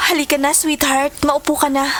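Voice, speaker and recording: A woman playing a patient, caring stepmother in an audio drama, gentle and soothing, with a Filipino accent. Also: sits high, little pitch movement, moderate pace, slight background noise. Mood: affectionate. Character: patient, caring, conciliatory stepmother and doting partner.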